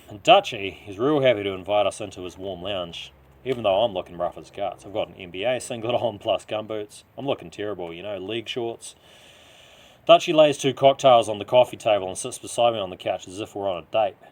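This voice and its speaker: A man acts out a rough-living New Zealand taxi driver with a dark past in a crime story. He talks in slangy, confiding rambles and insists on being heard. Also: fairly low pitch, lively intonation, fairly quick, close to an everyday voice. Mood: bitterly nostalgic. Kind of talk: character dialogue in audio story.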